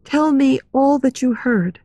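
A woman's voice: a little high, unhurried, gravelly, a little mellow, dry recording, voice pushed up. A woman playing a shy, emotional young woman who speaks guardedly in an audiobook story. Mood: alarmed, questioning.

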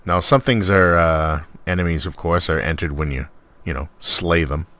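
A man with an extremely deep voice talks through a game as he plays. He is laid-back and conversational, like a host showing viewers around. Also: moderate pace, bright, forceful tone. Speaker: host of a game mod showcase. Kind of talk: casual gameplay commentary.

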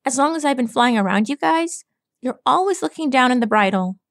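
A woman's voice: sits high, lively intonation, brisk, gravelly, no echo, clean studio sound, voice pushed up. A woman voicing a brash, cocky young female pegasus pony who teases in casual slang. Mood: teasing. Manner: teasing, casual slangy talk.

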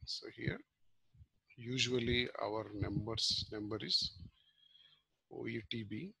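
A man giving an informal screen-share software tutorial as a trainer, explaining step by step in halting short phrases. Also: mid-range voice, gravelly, husky, dry recording, poor audio.

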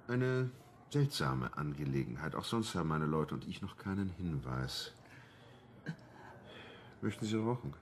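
An actor playing a matter-of-fact homicide inspector in a crime radio drama, measured, official and blunt. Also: male voice, low-pitched, slight background noise.

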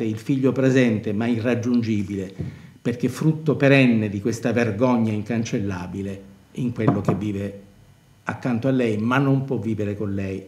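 An older male academic reflects on literature. He speaks deliberately, pausing to find the precise word, with a scholarly bearing. The sound is roomy and echoing, like an indoor stage. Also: mid-range voice. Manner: scholarly, deliberate, hesitant searching for words. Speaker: university professor of performing arts. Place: dark indoor theatre stage set, seated at table.

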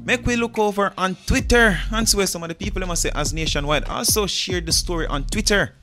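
A man's voice: sits high, brisk, pushed and bright. A man with a Jamaican accent hosting an entertainment news show, reading out stories and comments with his own commentary. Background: music.

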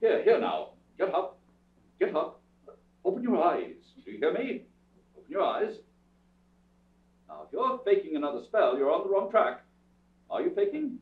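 A proper, easily flustered butler from an old radio comedy, acted by a man. He speaks formally and hesitantly with big pitch swings, in a thin, echoey recording from far off. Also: sing-song, normal speed, long pauses. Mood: coaxing, playful. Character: polite, reserved butler, flustered by attention. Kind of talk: staged old-time radio comedy acting. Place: indoor theatre stage, distant camera. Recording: muffled, thin, echoey distant stage recording.

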